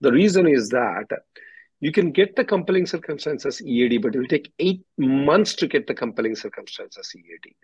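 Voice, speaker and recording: A husky-voiced man giving direct, instructive legal advice as the attorney hosting a question-and-answer call. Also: mid-range voice, expressive, fairly quick, a little mellow, no room sound. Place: conference call, close dry sound. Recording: dull, muffled conference-call audio.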